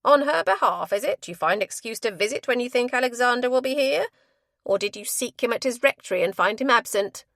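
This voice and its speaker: A woman voicing a blunt, scornful older mother who talks loudly in coarse, ungrammatical speech. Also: a little high, expressive, brisk, gravelly, no echo, clean studio sound, voice pushed up.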